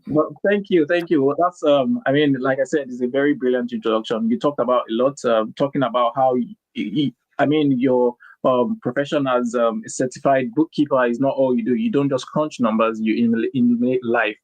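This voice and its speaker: A male podcast host talks in a casual, halting way, full of restarts and filler sounds. His voice is mid-pitched, and the recording is dry with no room sound. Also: fairly even intonation, no room sound, rough recording. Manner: hesitant, many restarts and ums. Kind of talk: casual interview talk.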